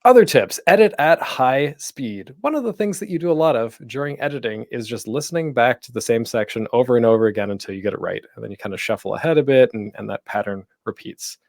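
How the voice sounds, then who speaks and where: Animated man giving an informal talk, close and dry. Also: medium pitch, quick pace, creaky, few pauses, dry recording. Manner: conversational, encouraging, self-deprecating humor. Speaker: podcaster and panel host. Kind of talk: informal online panel presentation.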